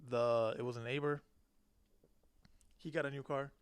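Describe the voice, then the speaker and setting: A man's voice: mid-range voice, steady tone, normal speed, clean tone, no echo. A man from Cuba talking as a podcast guest, telling personal stories in casual, informal language. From born in Cuba, left as a small child. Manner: slangy, informal. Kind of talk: casual chat, personal storytelling.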